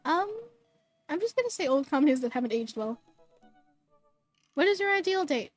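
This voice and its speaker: A hoarse, bubbly woman streamer reading game text aloud and chatting with viewers, tripping over words now and then. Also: high-pitched, lively intonation, moderate pace, no echo, soft background music.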